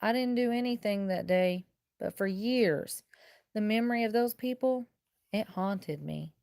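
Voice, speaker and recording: Female teacher for picture-book narration; mid-range voice, deliberate emphasis, dry close recording. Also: mid-range voice, creaky, darkish tone, no room sound. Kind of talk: expressive children's storybook read-aloud in first person.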